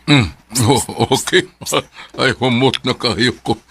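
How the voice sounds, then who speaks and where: Male voice, Filipino accent, mid-pitched, doted-on father, hesitant, murmuring, radio drama. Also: fairly even intonation, slow, fairly bright tone, breathy edge, full character voice. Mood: grateful.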